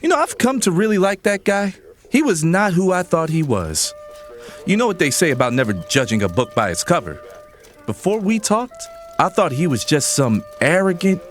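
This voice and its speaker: A man playing an upbeat, flirtatious, easygoing fiancé in a romantic audio drama, playful and smooth-talking. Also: sits high, very animated, normal speed, soft background music. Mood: upbeat, earnest.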